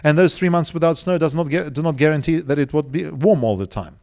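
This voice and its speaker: A man's voice: fairly high pitch, creaky, a little mellow. Russian-accented male preacher, muffled recording. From Siberia, Russia. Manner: deliberate, rhetorical questions, emphatic pauses. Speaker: preacher, evangelistic seminar speaker. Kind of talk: sermon to a live audience.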